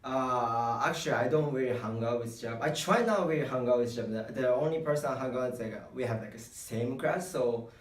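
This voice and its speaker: Young japanese guy w accent, halting english, repeats words, kinda far from the mic in an echoey room. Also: mid-range voice. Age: young adult. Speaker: international student being interviewed. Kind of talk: casual interview answers. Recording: roomy echo, distant microphone.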